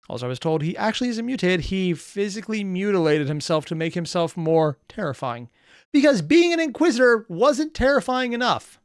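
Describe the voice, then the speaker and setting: A man's voice: on the high side, clear, resonant tone, no room sound, studio quality. A man hosting a reaction video with casual, rambling commentary, full of slang and wry asides to viewers.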